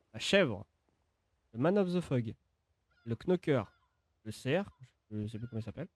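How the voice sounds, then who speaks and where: A man's voice: medium pitch, darkish tone, no echo. Casual male gaming commentator. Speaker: gaming video creator.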